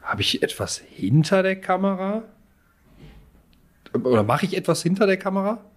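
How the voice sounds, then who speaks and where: A man's voice: high-pitched, sing-song, moderate pace. A man playing a guessing game with a friend, thinking aloud with hesitant fillers and laughing. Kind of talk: casual game chat.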